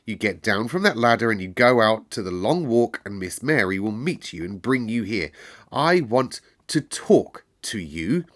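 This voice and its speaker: Man acting a haughty, imperious young boy, swooping pitch. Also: big pitch swings, moderate pace. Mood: commanding. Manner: imperious, commanding, indignant.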